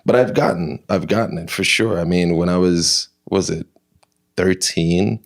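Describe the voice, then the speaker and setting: A man's voice: sits low, fairly even intonation, a little slow. A man from New York, a guest in a casual interview, retelling personal stories with lots of fillers and restarts.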